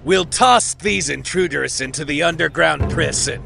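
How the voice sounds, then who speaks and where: Casting: menacing reptile warrior barking orders, forceful and extremely gravelly, fantasy storybook. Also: male voice, on the high side, normal speed, faint music behind, pitched above the performer's own voice, heavily acted. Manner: barking commands. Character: menacing, bossy lizardman warrior guard.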